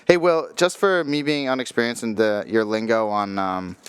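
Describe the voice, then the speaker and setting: A man's voice: fairly low pitch, steady tone, normal speed, clean tone, no echo. A man co-hosting a cigar podcast, asking curious questions and laughing often in casual chat.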